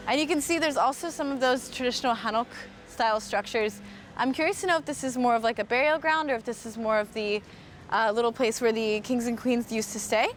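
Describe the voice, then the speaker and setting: A cheerful American woman with a fairly high voice chats her way through a live walking stream outdoors. She thinks aloud, fills her sentences with "um" and "like", and keeps talking straight to her viewers. Street noise sits faintly behind her. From United States. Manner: chatty and upbeat, thinks aloud with frequent fillers. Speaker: host. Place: outdoors, walking around a historic site.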